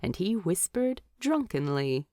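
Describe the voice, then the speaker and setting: Lady narrating a story, really animated pitch, takes her time, dry close mic. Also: unhurried, no echo. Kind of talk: expressive scripted story narration.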